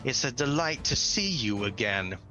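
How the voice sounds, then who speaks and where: A man's voice: mid-range voice, expressive, moderate pace, pushed and bright, faint music behind. A man voicing a pompous, short, cowardly bird-folk coinmaster in a tabletop fantasy game, with a fussy, officious manner. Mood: officious, smug.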